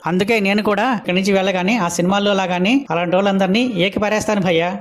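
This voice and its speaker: A man plays a cheeky, grumbling drunkard for a comedy cartoon. The voice sits high and runs on quickly with little melodic swing. He brags and complains in street slang. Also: flat delivery, noisy background, close to an everyday voice. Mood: boastful. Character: cheeky good-for-nothing Telugu drunkard, boastful and grumbling. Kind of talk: comic cartoon dialogue.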